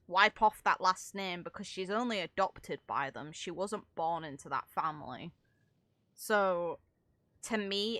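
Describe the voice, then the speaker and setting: A woman's voice: mid-range voice, a bit bright, no echo. A woman giving casual, chatty reaction commentary on video game dialogue, with informal slang and mild swearing. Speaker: streamer reacting to game voice lines.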